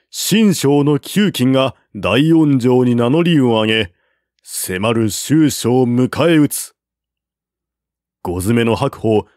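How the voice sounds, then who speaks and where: A man reading a classical war novel aloud as narrator, in a slow, measured way at a close mic. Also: medium pitch, darkish tone, no echo. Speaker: audiobook narrator.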